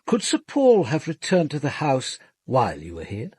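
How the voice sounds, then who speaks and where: An actor playing a composed, observant police commander with an English accent, in measured, formal radio drama narration and questioning. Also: male voice, mid-range voice, wildly swooping pitch, a little mellow, husky, dry recording, full character voice. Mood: probing, brisk. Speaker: detective narrator.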